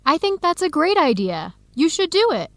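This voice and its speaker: A woman's voice: very high voice, sing-song, moderate pace, fairly bright tone, dry recording, full character voice. An actress playing a supportive, enthusiastic young woman, a close friend, in an educational radio drama. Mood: supportive, upbeat. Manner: encouraging, enthusiastic.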